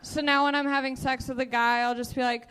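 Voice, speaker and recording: A woman in her twenties doing a stand-up set in a flat, monotone voice. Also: sits high, brisk, very noisy. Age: 20s. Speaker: stand-up comedian.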